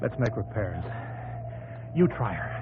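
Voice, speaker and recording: An actor playing an earnest, determined young inventor in a 1950s radio drama, plain-spoken in manner. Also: male voice, on the low side, very animated, unhurried. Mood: shaken, determined. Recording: tinny, faintly noisy 1950s radio broadcast.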